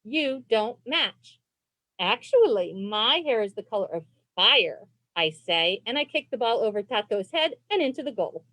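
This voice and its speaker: A woman reading a children's storybook aloud as host, phrasing expressively and deliberately for young listeners on a video call. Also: a little high, sing-song, sharp tone, no echo. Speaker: librarian and read-aloud host.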